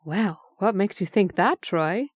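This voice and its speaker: A woman playing a gentle, puzzled mother who tenderly questions and coaxes her young son, in old-time radio drama style. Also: medium pitch, a little slow, no room sound, studio quality, natural voice. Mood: puzzled, concerned.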